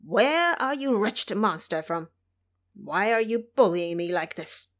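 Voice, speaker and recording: A woman's voice: medium pitch, animated, measured pace, natural voice. A woman playing a proud, easily provoked young male dragon in an audio drama, aggrieved and defiant. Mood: angry defiant.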